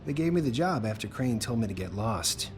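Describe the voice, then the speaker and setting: A man's voice: medium pitch, lively intonation, a little fast, background noise, lightly acted. An actor playing a meek, nervous, eager-to-please male night worker in a video game, hesitant and apologetic. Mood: awkward, friendly.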